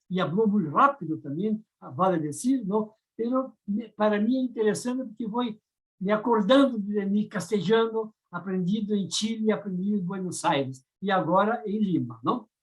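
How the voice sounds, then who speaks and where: A woman with a Brazilian Portuguese accent speaks as a discussant on an academic panel, in a middle pitch. She talks thoughtfully and keeps stopping to hunt for the right word, with fillers and restarts along the way. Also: low-quality recording. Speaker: round-table discussant.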